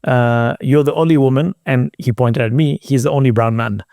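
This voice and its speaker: A man hosts a relaxed interview, sharing personal experience in loose, emphatic, informal language. His medium-pitched voice has plenty of creak. It sounds dry and close, without room echo. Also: moderate pace. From outside the UK, moved to London as an adult. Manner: informal, emphatic, mild swearing. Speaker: interview host. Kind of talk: casual personal storytelling in conversation.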